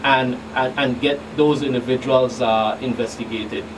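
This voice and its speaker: Male law enforcement guest, mid pitch, explanatory and halting, with clear background noise. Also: steady tone. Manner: halting, repeats words. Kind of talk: explanatory radio interview answers. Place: small radio studio. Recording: noisy, distant mic with room hum.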